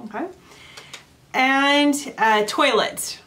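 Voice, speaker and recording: A woman's voice: sits high, drawn-out words, a bit bright. Giggly Californian woman asking questions. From Southern California. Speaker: host. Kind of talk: casual chat.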